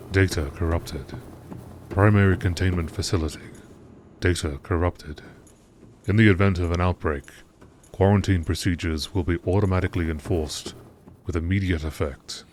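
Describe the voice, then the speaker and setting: Male documentary narrator, lower register, formal and measured, slight ambient noise underneath. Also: darkish tone. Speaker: narrator of game lore. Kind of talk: scripted documentary-style narration.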